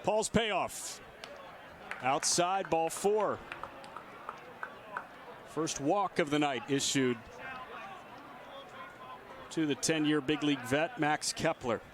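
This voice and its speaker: A man's voice: a little high, slow, pauses often, background noise. A man doing live radio play-by-play commentary of a baseball game. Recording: outdoor stadium ambience behind voice. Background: ballpark ambience.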